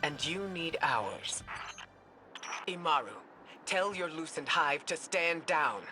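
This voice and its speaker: An actor playing a cautious, firm military leader in a video game, measured, serious and watchful. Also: male voice, a little high, expressive, music in the background. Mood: wary. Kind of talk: scripted video game voice acting.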